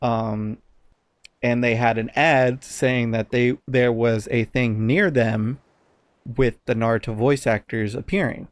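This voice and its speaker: Guy cohosting a podcast, just rambling casually thru stories, medium pitch, no room echo. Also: fairly even intonation, slow pace. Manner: rambling, informal, profane at times. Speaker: podcast co-host. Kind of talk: casual podcast chat, telling anecdotes.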